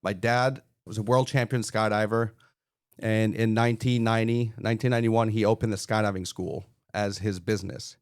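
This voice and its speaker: A man's voice: fairly low pitch, fairly even intonation, moderate pace, dry recording, studio quality. A man in his forties from Montreal with a Quebec French accent, French his first language, telling stories easygoing as a firefighter-paramedic guest on a podcast interview. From Montreal, Canada. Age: 40s.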